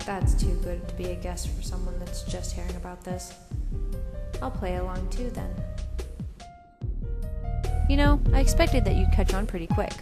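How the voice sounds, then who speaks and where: Woman acting a dry female agent, loud music behind. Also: lowish voice, fairly even intonation, moderate pace, pauses often, close to an everyday voice. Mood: dryly sarcastic. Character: businesslike, dryly sarcastic female government agent debriefing colleagues. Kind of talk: scripted fan-dub drama.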